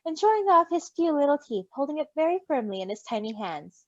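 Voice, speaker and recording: A woman with a fairly high, lively voice reads a story aloud and drops in gushing asides. Her delivery is very expressive and animated. The recording is close and dry but a little dull and lo-fi. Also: brisk, no echo. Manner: expressive, gushing, giggly reactions. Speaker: story reader. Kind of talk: animated read-aloud of fan fiction with casual asides.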